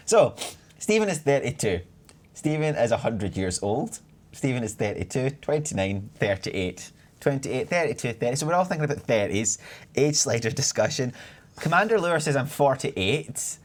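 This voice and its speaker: A young Scottish man in his twenties, a community manager chatting on a live stream and reading out viewer comments. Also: medium pitch. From Scotland. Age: 20s. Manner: chatty, rambling, reads chat aloud.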